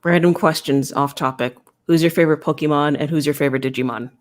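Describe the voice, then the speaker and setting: Woman, chat host, relaxed, brief questions. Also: low voice, quick pace. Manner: relaxed, short reactions and questions. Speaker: show host. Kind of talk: casual video chat interview.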